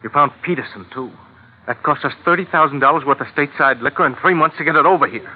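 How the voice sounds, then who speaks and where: An actor playing a gruff, suspicious smuggling boss and hard bargainer in an old radio drama, blunt and tough. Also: male voice, a little high, fast talker. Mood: angry, contemptuous. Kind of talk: 1940s-50s American radio drama acting. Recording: tinny old radio recording.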